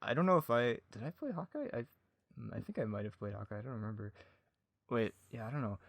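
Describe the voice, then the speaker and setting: Man, medium pitch, casual podcast guest, giggly, rambling, self-deprecating, dry close sound. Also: animated, fast talker, no echo. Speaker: podcast guest, audio drama creator. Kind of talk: casual chat.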